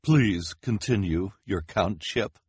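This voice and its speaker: A man's voice: lowish voice, slow, a little mellow, husky, no room sound, pitched above the performer's own voice. A man voicing a gushing, gum-snapping woman reporter who talks breathlessly and fawningly.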